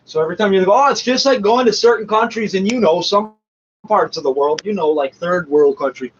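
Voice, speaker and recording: Combative, scornful man with a highish voice. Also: brisk. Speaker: commentator reacting to a radio show. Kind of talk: off-the-cuff rant over a played broadcast. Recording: muffled, faintly noisy lo-fi recording.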